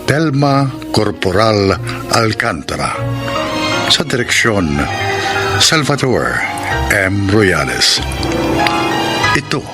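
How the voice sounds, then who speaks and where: A low-voiced man delivers solemn radio announcements at a slow pace, with sweeping intonation. Loud music runs beneath his scripted, dramatic delivery. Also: very animated. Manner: measured, solemn. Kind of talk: dramatic scripted closing narration and credits. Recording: loud music bed under the voice.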